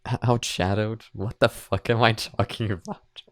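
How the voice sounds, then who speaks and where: A man's voice: fairly low pitch, fairly even intonation, normal speed, dry recording. A man hosts a reaction video in a casual, rambling way, circling back over his points. His voice is clean and resonant, recorded dry in a home setup. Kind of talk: casual reaction commentary to camera.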